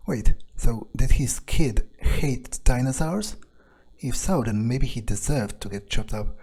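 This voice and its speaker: A man's voice: medium pitch, animated, takes it slowly, darkish tone, breathy edge. A man thinking aloud in improvised in-character video game commentary, musing and sardonic in an understated, casually profane way. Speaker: gamer narrating an in-character playthrough.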